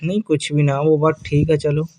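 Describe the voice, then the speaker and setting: A fairly high male voice with a soft, mellow tone. A man plays a young husband on the defensive, placating his partner in an offhand, colloquial way, as in a casual audio drama. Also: level tone, normal speed, a little hiss or hum, lightly acted. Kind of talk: improvised-sounding domestic dialogue in an audio story.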